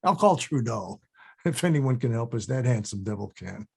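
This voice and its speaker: A man's voice: mid-range voice, expressive, normal speed, vocal fry, husky. An actor at a table read playing a wry, wary older male actor with a dry, hesitant delivery.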